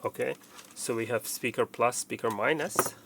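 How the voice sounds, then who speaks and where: Male technician for a plain how-to walkthrough: fry-edged voice, casual and repetitive instruction, faint background noise. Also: mid-range voice, moderate pace. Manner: plain, step-by-step explaining, often repeating instructions. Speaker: technician presenting a how-to tutorial. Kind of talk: casual hands-on installation walkthrough.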